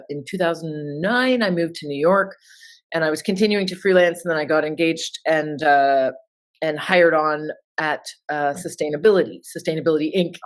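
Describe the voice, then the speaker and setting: A woman in her forties from Toronto, giving chatty, informal answers as an interview guest over a remote call. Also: deep voice. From Toronto, Canada. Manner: chatty and informal, with filler words. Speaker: interview guest, sustainability consultant.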